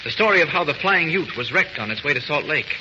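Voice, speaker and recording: An actor playing a courteous, quietly confident young would-be reporter in a vintage radio drama, speaking in a measured, persistent way. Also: male voice, on the high side, animated, close to an everyday voice. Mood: assured. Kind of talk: 1940s radio drama acting. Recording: noisy, muffled, reverberant old radio recording.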